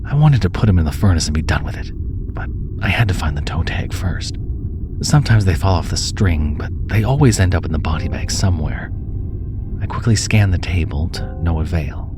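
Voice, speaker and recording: A man's voice: low voice, lively intonation, a little fast. A man reading a scripted first-person horror story in a theatrical, dramatic way. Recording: music bed under the voice. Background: background music.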